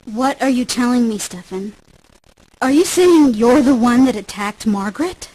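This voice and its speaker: A woman's voice: on the high side, lively intonation, normal speed, darkish tone, husky, poor audio. An actress playing an earnest, anxious but brave young woman in a video game drama, pleading and questioning. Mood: disbelieving.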